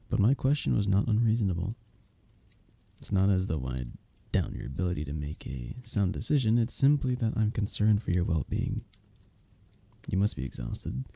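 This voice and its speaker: Man playing a dark-voiced, flirty medieval innkeeper. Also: lowish voice, full character voice. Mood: gentle, courteous. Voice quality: dark, husky, gravelly. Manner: formal old-fashioned diction, teasing, gently courteous. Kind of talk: romantic ASMR roleplay, scripted character acting.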